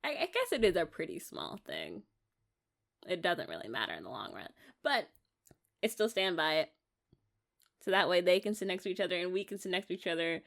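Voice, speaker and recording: A woman's voice: fairly low pitch, sing-song, brisk, creaky, long pauses, a bit bright, heavily acted. A young American woman playing an eager, affectionate wife in an intimate ASMR romance roleplay, rambling and coaxing in a giggly way. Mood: playful, musing.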